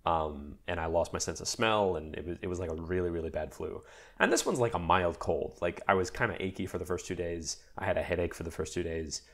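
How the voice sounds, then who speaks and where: Low gravelly man, chatty and rambling. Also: fairly quick. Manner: lively, rambling, many fillers and restarts. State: recovering from a mild cold. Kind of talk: casual chat.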